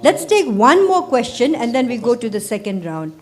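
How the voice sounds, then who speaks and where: A woman with a Nepali accent moderating a panel discussion and briskly directing the speakers. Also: on the high side, very animated.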